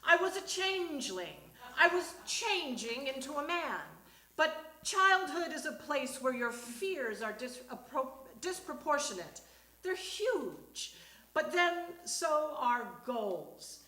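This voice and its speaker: A woman performing a comic personal monologue on stage, in a halting, conversational way with dramatic pauses. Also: sits high, sing-song, sharp tone. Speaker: reader at a live storytelling show. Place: small theatre stage. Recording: echoey live stage recording.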